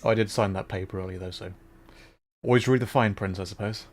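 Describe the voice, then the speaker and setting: A man's voice: lowish voice, steady tone, quick pace. A man doing casual live gameplay commentary, thinking aloud and playfully repeating words as he goes. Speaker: VTuber game streamer.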